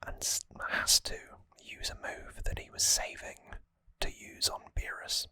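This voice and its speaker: A hushed ASMR host whispers slowly and explains things step by step. The whisper is bright and crisp, recorded close up in a dry space with no room sound. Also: dry recording. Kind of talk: whispered ASMR trivia recap. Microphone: close microphone.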